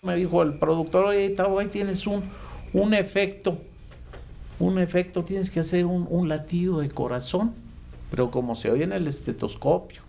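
Higher-pitched, gravelly Mexican man. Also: normal speed, dark tone. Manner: colloquial, acts out remembered dialogue. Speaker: radio sound effects artist. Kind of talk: casual anecdote telling in an interview. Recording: clear background noise. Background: background noise.

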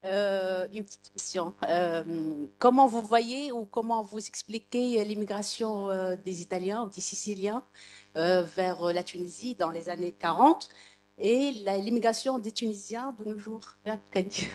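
A woman with a mid-pitched voice puts a question from the audience. She goes haltingly, and a faint noisy hum sits behind her. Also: darkish tone. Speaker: audience member asking a question. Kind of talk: hesitant spoken question.

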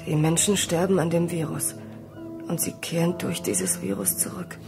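A woman's voice: low-pitched, sing-song, moderate pace, music in the background. An actress playing a shaken, weary woman who survived an outbreak, in a German radio drama.

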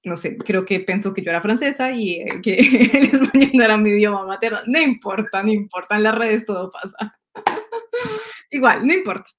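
A woman in her thirties with a Colombian Spanish accent, telling stories with lively energy and frequent laughter. She talks over a webcam from a room at home, often repeating words as she goes. Her voice sits at an ordinary middle pitch. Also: normal speed, a little hiss or hum. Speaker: host, astrologer. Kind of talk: casual spiritual conversation. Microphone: video call webcam.

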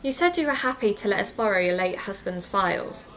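A woman's voice: medium pitch, animated, brisk, fairly bright tone, slight background noise, close to an everyday voice. An actress playing a polite, inquisitive female podcast investigator conducting an interview in an audio drama.

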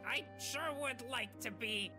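A man's voice: very high-pitched, unhurried. A man voicing an eager, earnest young cartoon character in an improvised comedy dub, music behind.

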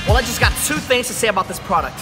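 Male fitness vlogger, 20s, high-pitched and animated, casual joking delivery over loud music. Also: rapid-fire, rough recording. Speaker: fitness vlogger reviewing gym gear. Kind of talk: casual vlog talk to camera. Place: large busy commercial gym. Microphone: handheld camera at arm's length.

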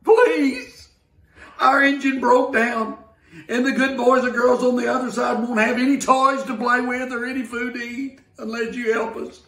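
A man's voice: extremely high pitch, a bit bright, far from the mic, pitched above the performer's own voice, heavily acted. A man voicing a group of little dolls and toys pleading for help in a storybook reading. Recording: roomy, from the mic.

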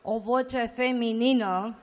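A woman's voice: a little high, steady tone. A middle-aged woman presenting prizes on a club stage, holding a handheld mic and rallying the crowd for applause. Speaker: awards presenter. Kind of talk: live stage emcee announcing winners. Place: stage of a rock cafe with karaoke audience. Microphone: handheld microphone.

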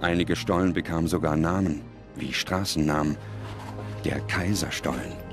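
Deep-voiced male documentary narrator. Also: moderate pace. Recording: music under the voice. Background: music.

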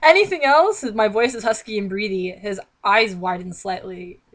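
A woman's voice: mid-range voice, normal speed, fairly bright tone. A young woman reading a book aloud and mocking it with sarcastic, exasperated, theatrical commentary, recorded on a webcam in a small bedroom. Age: young adult.

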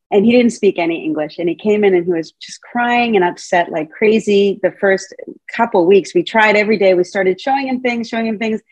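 Husky woman giving a chatty video-call lecture. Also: medium pitch, darkish tone, dry recording, lo-fi sound. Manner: chatty and playful, asks the audience questions. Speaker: Montessori teacher trainer giving a talk. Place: home room, indoors. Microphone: video call webcam.

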